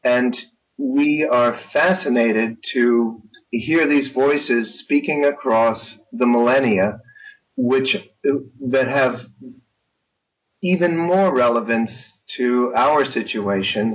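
A slow-speaking man with a mid-range voice, heard through slightly muffled, lo-fi sound. He talks as an author being interviewed, reflective, formal and erudite in his phrasing. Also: medium pitch. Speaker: guest author. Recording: dull lo-fi call sound.

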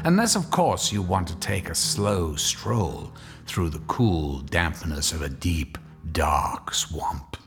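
An actor playing a sinister, gleeful male horror host who speaks in a drawn-out, mocking, theatrical way. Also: low voice, lively intonation, soft background music, heavily acted. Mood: gloating. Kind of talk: radio drama hosting.

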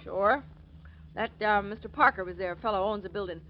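A woman's voice: mid-range voice, brisk, lightly acted. A woman acting a wary, plain-spoken working-class cleaning lady in blunt, guarded 1940s radio style. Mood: insistent. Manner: blunt, clipped grammar, guarded. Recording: muffled, noisy vintage 1940s radio recording.

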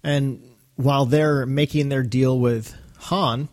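A man's voice: medium pitch, fairly even intonation, takes it slowly, a little mellow. A male podcast host with a mid-range voice talks casually. He recaps and discusses in an easy conversational style, as a fan would.

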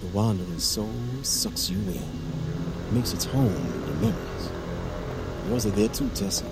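Under a loud music bed, a middle-aged military lieutenant and father gone menacing and bitter. His voice sits low, and he taunts slowly and deliberately, like an unhinged audio drama villain. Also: animated. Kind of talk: audio fiction drama acting.

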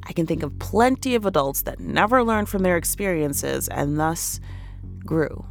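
Low female voice actress, anxious pregnant woman, blunt, wry, confessional diary, audio drama, music behind. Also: animated, normal speed, vocal fry. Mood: candid, uneasy. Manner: candid, wry. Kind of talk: confessional recorded diary monologue.

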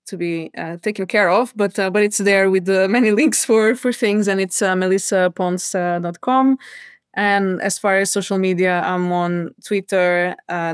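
A woman's voice: mid-range voice, clear, resonant tone, no echo, studio quality. A woman talking casually as an interview guest, thinking aloud in a hesitant, rambling way. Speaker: sound designer, podcast guest.

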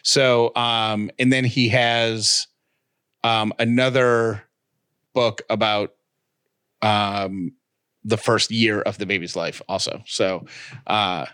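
A man co-hosting a podcast with his wife, talking in an animated, rambling, self-mocking way. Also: on the low side, slow, pauses often, smooth. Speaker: podcast co-host. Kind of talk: casual chat.

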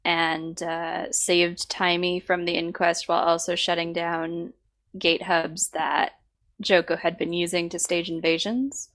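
Hoarse woman with a sore throat. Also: fairly low pitch, level tone, fairly bright tone. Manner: halting, full of fillers. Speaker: podcast co-host. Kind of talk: casual podcast chat.

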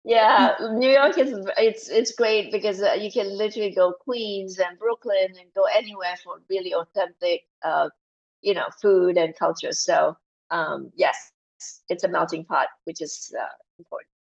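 A woman's voice: medium pitch, normal speed, gravelly, highs cut off. A woman from Malaysia, a guest in a casual interview, talking animatedly with many fillers and restarts.

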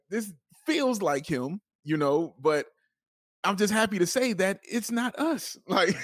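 A man's voice: on the high side, no echo, studio quality. A man co-hosting a sports podcast with playful, emphatic, casual banter.

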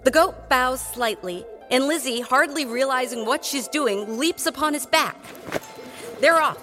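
A woman's voice: high voice, unhurried. A woman narrating a scripted audio drama in a storytelling style. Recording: music bed under voice.

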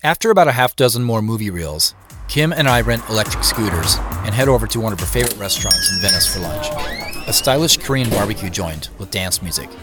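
A man's voice: lowish voice, animated, fast, over music, close to an everyday voice. A man acting as a wry, guarded younger brother and CEO in an audio drama, narrating in a conversational way. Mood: reflective. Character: adult brother, a celebrity CEO, playful and guarded. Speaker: actor and narrator. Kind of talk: first-person audio drama narration and dialogue.

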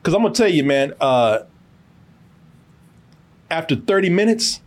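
A man's voice: fairly high pitch, big pitch swings, a little slow. A man hosting a casual, profane film review podcast, telling it emphatically with lots of repetition and free cursing.

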